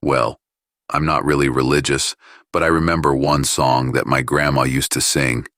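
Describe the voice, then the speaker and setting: Narrator as very deep, sheepish pilot. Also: male voice, little pitch movement, normal speed, a bit bright, no room sound, studio quality. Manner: hesitant, embarrassed. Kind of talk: audiobook dialogue.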